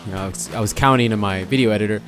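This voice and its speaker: A young man with a hoarse, fading voice, chatting casually as he comments on his own gameplay, often stopping to correct himself. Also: on the low side, racing, background noise. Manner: rambling, self-correcting, chatty. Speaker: gaming channel host.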